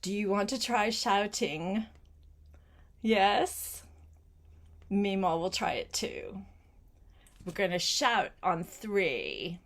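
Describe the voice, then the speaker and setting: Older woman reading slowly to a child. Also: mid-range voice, expressive. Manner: gentle, simple child-directed talk with questions and short phrases. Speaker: grandmother reading aloud to a child. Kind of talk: read-aloud picture book storytelling with asides to a young child.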